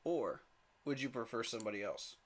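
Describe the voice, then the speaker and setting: A man's voice: medium pitch, lively intonation, fairly quick, a little hiss or hum. A man hosting a live sports fan stream, talking straight to his chat in an emphatic, pleading way and chuckling at rival fans.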